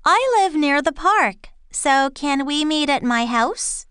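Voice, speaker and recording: A woman reading scripted dialogue and answer options for an English listening test, slow and clearly enunciated. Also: high-pitched, lively intonation, a bit bright, no echo, studio quality.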